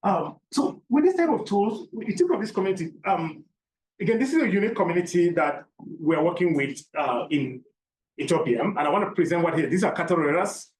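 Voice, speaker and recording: A man's voice: high-pitched, animated, a little fast, darkish tone. A man from Cameroon giving a seminar lecture, explaining by repeating key points and asking rhetorical questions. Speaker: academic presenter. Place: lecture room. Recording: roomy, from a distance, faint background noise.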